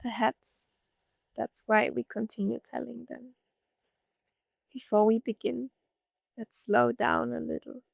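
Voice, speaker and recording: A woman narrating a scripted guided meditation, gently soothing, speaking in short phrases with long pauses. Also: on the high side, flat delivery, creaky, dry recording.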